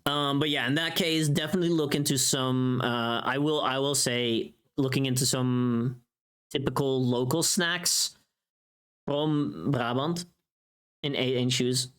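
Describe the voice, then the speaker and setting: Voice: medium pitch, fairly even intonation, a little slow, fairly bright tone, clear, resonant tone. A livestreaming VTuber with a mid-range voice, talking casually to chat. The delivery is loose and rambling, teasing and informal, with a bit of mild swearing.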